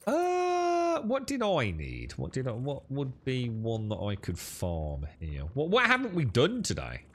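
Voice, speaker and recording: Sweary gamer with lively pitch. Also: male voice, unhurried. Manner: blunt, sweary, dismissive, rambling. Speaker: gaming streamer. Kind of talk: casual gaming stream chat.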